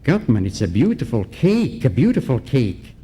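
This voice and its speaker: An actor playing an excitable, fawning, petulant crook sidekick in a comic radio parody. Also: male voice, medium pitch, moderate pace. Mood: delighted. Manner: fussy, excitable. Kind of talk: comic old-time radio parody acting. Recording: faint noise on live recording.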